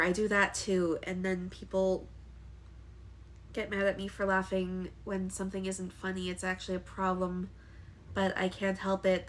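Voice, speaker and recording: A woman's voice: medium pitch, a bit bright, slight background noise. Congested young woman, hesitant vlog talk. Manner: hesitant, with frequent ums and restarts. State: congested from allergies. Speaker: vlogger. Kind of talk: candid personal vlog talk.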